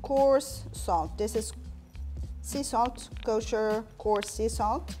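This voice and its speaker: Moroccan-born woman walking you thru a recipe in her kitchen, bit high pitched, soft music in the back. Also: slow pace. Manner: friendly step-by-step explaining while cooking. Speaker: cooking show host. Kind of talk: cooking demonstration. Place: home kitchen, indoors. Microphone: lapel mic.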